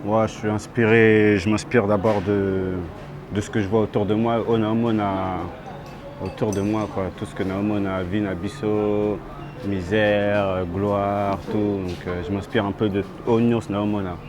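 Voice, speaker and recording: A young man from France, a rapper being interviewed outdoors, talking in a halting way and switching between French and Lingala. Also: fairly low pitch, little pitch movement, crowd noise. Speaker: rapper guest. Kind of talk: street interview. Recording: outdoor street noise.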